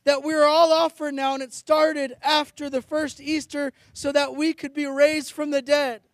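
Middle aged guy preaching a sermon, really high voice, declaims it, dry sound no echo. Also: flat delivery, moderate pace, a bit bright. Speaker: pastor. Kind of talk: sermon with scripture readings. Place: outdoors on a high ledge in a blizzard. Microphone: handheld or stand microphone close to the mouth.

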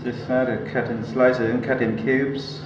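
A middle-aged man with a Thai accent, a native Thai speaker, calmly walking through a cooking demonstration in simple, broken English. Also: mid-range voice, lo-fi sound. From Thailand. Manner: relaxed, unhurried, simple broken phrasing. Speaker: cooking teacher. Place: indoor cooking school kitchen. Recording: echoey kitchen, loud frying noise.

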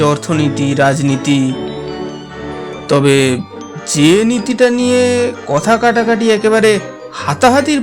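A man's voice: sits high, heavily acted. A man playing a wry believer in ghosts, narrating a horror audio drama in a theatrical, teasing way.

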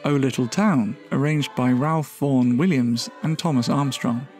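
A man's voice: medium pitch, moderate pace, a little mellow. A man reading scripted end credits as an announcer. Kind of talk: scripted narration. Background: music.

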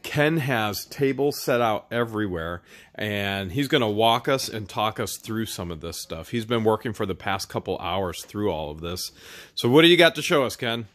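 A man in his thirties hosting a casual unboxing, talking in an excited, playful, teasing way into a handheld phone inside a large storage warehouse. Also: fairly low pitch, moderate pace. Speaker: host.

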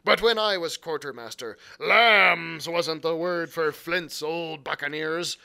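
A man voicing a cunning old male pirate quartermaster in a loud, rolling pirate drawl. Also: on the high side, takes it slowly, creaky, clear, resonant tone, heavily acted. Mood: boastful.

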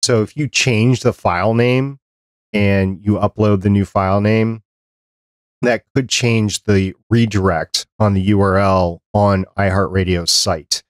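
Man, middle-aged, lowish pitch, dry close mic, podcast host, matter-of-fact explainer. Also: steady tone, measured pace, dry recording. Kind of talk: conversational interview and advice. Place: small cluttered home office, video call. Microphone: desk microphone close up, headset.

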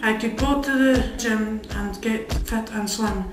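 A woman's voice: fairly high pitch, unhurried, music in the background. Young Scottish woman reading verse, echoey. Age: young adult. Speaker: poem reader. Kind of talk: rhyming scripted narration. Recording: echoey room.

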